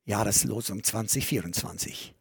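A man preaching a sermon in a church, earnest, rising loud on key phrases and sinking to a near whisper. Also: on the low side, moderate pace, gravelly, slightly rough. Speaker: pastor.

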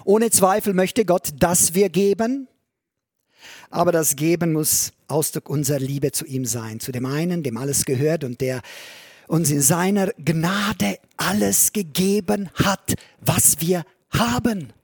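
A man preaching a sermon in a church, earnest, rising loud on key phrases and sinking to a near whisper. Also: a little high, unhurried, husky, no echo, studio quality. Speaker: pastor.

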